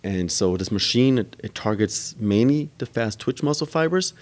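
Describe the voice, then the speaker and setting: A man talks in a very low voice as a podcast guest. He explains how his gym works at length, pausing often with ums and uhs as he goes. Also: expressive. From Germany. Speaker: gym owner and trainer. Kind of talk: podcast interview answers.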